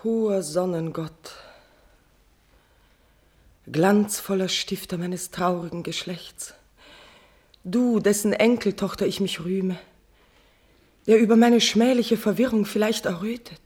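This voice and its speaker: A woman's voice: mid-range voice, takes it slowly, long pauses, darkish tone, husky. A woman playing a despairing, ashamed queen weary of life, in stately, mournful verse for a classical radio tragedy. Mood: anguished farewell.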